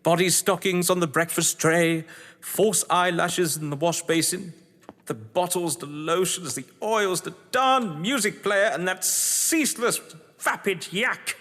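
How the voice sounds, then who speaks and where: An actor playing an urbane, cunning English crime novelist in an audio drama, pompous, condescending and wordy. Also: male voice, high-pitched, a little slow, fairly bright tone. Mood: scornful. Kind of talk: theatrical audio drama acting. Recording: echoey hall-like reverb.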